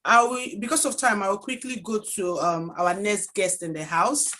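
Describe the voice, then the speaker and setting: Female online workshop host, average pitch, informal and halting. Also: steady tone, bright, forceful tone. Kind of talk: informal live moderating. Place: online video call.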